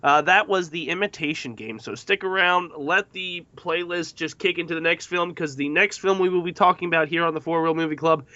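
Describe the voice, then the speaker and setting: A man's voice: fairly high pitch, a little fast, hardly any pauses, sharp tone, no echo, dull audio. A man hosting a podcast panel discussion in a casual style, addressing guests and listeners. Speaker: podcast host.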